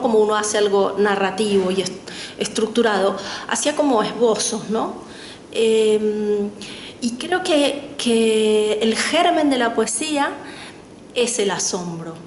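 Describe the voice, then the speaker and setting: A middle-aged woman with an Argentine accent speaks as a panel guest writer, thoughtful, pausing with fillers and tag questions. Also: fairly high pitch. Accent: Argentine Spanish. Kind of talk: reflective talk at a literary panel. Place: lecture hall. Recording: echoey room with faint noise.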